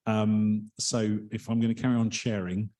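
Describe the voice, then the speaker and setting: A man chairing a members' meeting in a hall, polite and hesitant with many pauses and ums. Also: fairly low pitch, level tone, dark tone.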